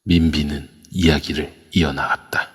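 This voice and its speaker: A man narrates a spoken drama in a steady, storytelling way. His voice is very deep and husky. It carries long reverb through a phone voice chat. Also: measured pace. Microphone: phone in an online voice room.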